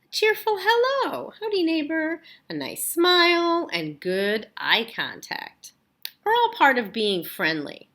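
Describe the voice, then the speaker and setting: A woman acts out a worried, fussy gentleman rabbit from a picture book. He ponders aloud in questions, and the pitch moves up and down in a very animated way. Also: slow pace, heavily acted. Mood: pondering, hopeful. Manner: questioning, musing storybook delivery.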